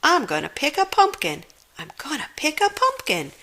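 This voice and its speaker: Woman voicing an excitable, bouncy little boy frog. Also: high voice, moderate pace, pitched above the performer's own voice, exaggerated voice. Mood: gleeful singing. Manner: exclaiming, sing-song. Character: excitable young male frog, bouncy and eager. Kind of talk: children's storybook read-aloud.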